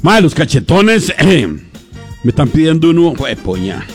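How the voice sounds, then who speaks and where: A man's voice: a little high, very animated, unhurried, faint music behind. A man, co-hosting a radio comedy show and telling jokes in an easygoing, hesitant way. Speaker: radio comedy show co-host and joke teller. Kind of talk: casual radio banter and joke telling.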